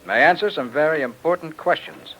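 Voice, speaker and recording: Quick, probing radio detective. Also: male voice, a little high, expressive, pushed and bright, rough recording. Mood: analytical. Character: quick, probing male private detective, coolly analytical. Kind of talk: 1940s radio mystery acting. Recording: old-time radio recording.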